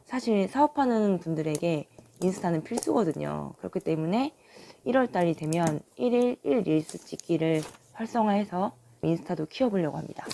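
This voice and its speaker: Hoarse, gravelly young woman vlogger. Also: on the low side, no room sound. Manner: calm, earnest. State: hoarse from a sore throat. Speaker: online seller and vlogger. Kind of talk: calm vlog talk to viewers.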